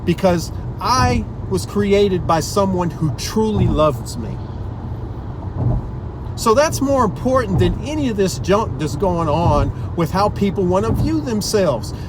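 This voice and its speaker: Male vlogger, slightly high pitch, emphatic personal monologue, noisy recording with vehicle sounds. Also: big pitch swings, measured pace. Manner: earnest, emphatic, repeats words for stress. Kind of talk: personal opinion monologue to listeners.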